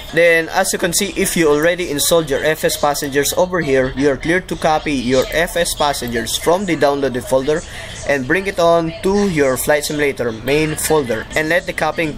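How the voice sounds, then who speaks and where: A man with a Filipino accent narrating a software tutorial in an informal way. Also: on the high side, normal speed. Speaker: tutorial presenter. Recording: clearly noisy home voice-over recording.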